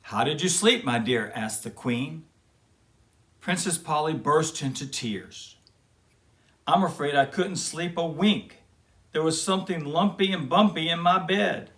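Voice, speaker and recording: A man reading a fairy tale aloud to children in a gentle, friendly storybook manner, then chatting warmly to his young listeners. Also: a little high, lively intonation, measured pace. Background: guitar music.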